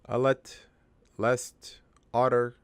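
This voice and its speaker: A man playing a crude but wistful old dead father in a cartoon, rambling through his life story in a halting, gravelly, improvised monologue. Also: medium pitch, flat delivery, no echo. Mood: sentimental. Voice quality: gravelly, creaky.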